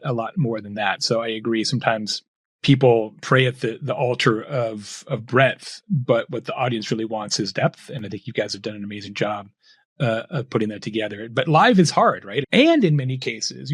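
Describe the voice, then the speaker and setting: A man's voice: medium pitch, moderate pace, breathy edge, no room sound, clean studio sound. A man hosting a podcast interview, asking thoughtful questions and affirming his guest. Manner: thoughtful, affirming, occasional restarts. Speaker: podcast host.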